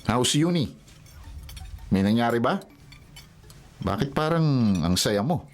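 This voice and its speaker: A man's voice: medium pitch, very animated, takes it slowly. A man playing a bemused, protective older brother in a Filipino radio romantic comedy, questioning and incredulous. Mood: puzzled, concerned.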